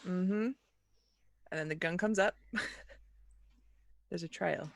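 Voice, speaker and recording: A woman's voice: on the low side, extremely slow, vocal fry, no echo. A woman player in a tabletop roleplaying game, speaking informally in short clipped phrases as she describes what her character does.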